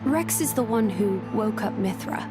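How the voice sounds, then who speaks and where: A woman playing a gentle, hesitant, sincere young companion in a video game, with a polite manner. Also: mid-range voice, expressive, normal speed, close to an everyday voice. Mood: tentative, sincere. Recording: loud new-age music behind.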